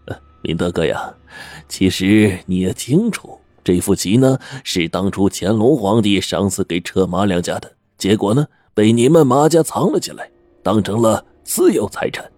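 A man voicing an emotional, wealthy old man who talks in a high, gushing, overly warm way. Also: expressive, normal speed, no room sound. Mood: smooth bargaining. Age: older.

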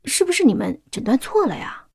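A woman's voice: high-pitched, very animated, brisk, vocal fry, darkish tone, breathy edge, heavily acted. An actress playing a devoted, anxious working-class mother in a science-fiction radio drama, pleading and sighing emotionally. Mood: disbelieving, pleading.

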